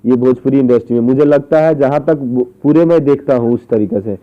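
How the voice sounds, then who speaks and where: A man's voice: medium pitch, fairly quick. A man, questioning someone insistently and repeating his phrases in a recorded phone interview. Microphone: phone call. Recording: muffled, dull phone call recording.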